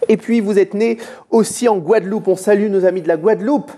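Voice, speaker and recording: A man's voice: sits high, fairly even intonation, fairly quick, warm tone, a little hiss or hum. A man hosting a TV talk show, teasing his co-host and greeting his guest in a playful, mock-formal way.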